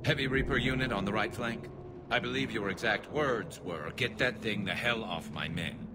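Man playing a wry low-voiced alien soldier. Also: moderate pace, very noisy, lightly acted. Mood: matter-of-fact. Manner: dry, wry, self-deprecating. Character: wry male alien soldier, loyal ex-cop vigilante. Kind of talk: video game cutscene acting. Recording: battlefield ambience behind.

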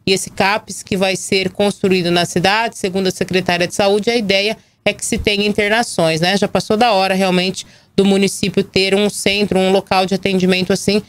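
A Brazilian woman in her thirties presenting live local news, her voice hoarse from a cold. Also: mid-range voice, little pitch movement, moderate pace, few pauses. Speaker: TV and radio news anchor. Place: small indoor news studio. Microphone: gooseneck desk microphone.

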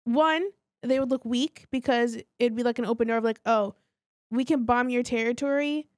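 A woman's voice: fairly high pitch, fairly even intonation, normal speed, dry recording, clean studio sound. A woman co-hosting a podcast, chatting informally with frequent "like" and some swearing. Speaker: podcast co-host. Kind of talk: casual chat.

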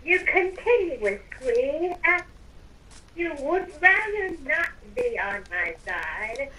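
A woman's voice: high-pitched, big pitch swings, takes it slowly, pushed and bright, exaggerated voice. A woman voicing a sly, coaxing evil overlord with a theatrical, falsely sweet manner in a game roleplay. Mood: sly, tempting. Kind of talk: improvised Minecraft roleplay. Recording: noisy, distant mic with game ambience.

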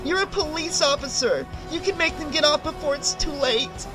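A desperate young woman as played by a male audiobook narrator, pitched very high and shrill. She is panicked and insistent, begging to be heard. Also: steady tone, slow pace, music in the background. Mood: pleading.

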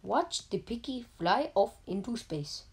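A gaming YouTuber with an Indian accent, giving deadpan, halting comedic commentary over mobile gameplay. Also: female voice, mid-range voice, husky. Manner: deadpan, halting, joking persona.

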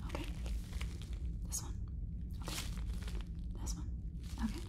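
A young woman voicing a polite, condescending robot overlord in a whispered ASMR role-play, giving soft instructions up close. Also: noisy background, close to an everyday voice. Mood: calm, instructing. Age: 20s. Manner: slow, soft, instructing, gently patronizing. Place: small indoor set, close up. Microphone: close microphone.

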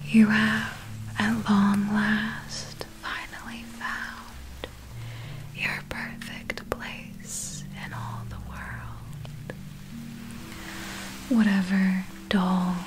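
Woman playing a slow, whispering seductive vampire. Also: medium pitch. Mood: tender, enticing. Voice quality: breathy, whispered. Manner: slow, deliberate, hypnotic, ornate phrasing. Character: seductive, possessive vampire turning a captive into immortal. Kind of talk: ASMR roleplay.

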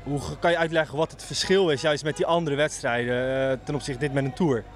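A man's voice: a little high, steady tone, a little fast. A man reporting on location with a handheld mic, introducing and asking questions. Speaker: field reporter. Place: outdoors in street.

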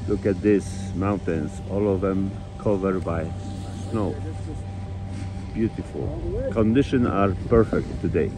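Slow-talking man filming outdoors. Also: low voice. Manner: slow, enthusiastic, simple phrasing with pauses. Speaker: vlogger. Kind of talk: casual outdoor commentary. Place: outdoors at a ski hill and by a mountain river.

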